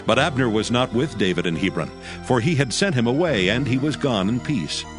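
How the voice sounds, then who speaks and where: A man giving a measured, scripted narration of scripture. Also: medium pitch. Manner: measured, even reading. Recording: music bed under voice.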